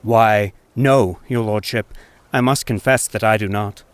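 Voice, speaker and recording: An actor playing a suave, wily street fiddler with a resonant voice and courtly, flowery, mock-humble speech in an audiobook. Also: male voice, fairly low pitch, unhurried, clean tone, no room sound, lightly acted. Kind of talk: audiobook character acting.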